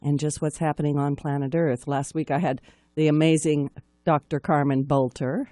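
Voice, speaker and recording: A woman's voice: deep voice, dark tone, dry recording, studio quality. A woman hosting a live radio talk show in a chatty, enthusiastic way.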